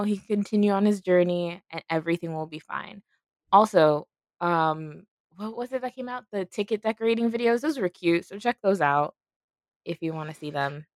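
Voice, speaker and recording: A woman's voice: lowish voice, no room sound, clean studio sound. A young woman co-hosting a podcast, chatting casually and filling her talk with "like". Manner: chatty, uses lots of "like" and "y'all". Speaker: podcast co-host. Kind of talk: casual chat.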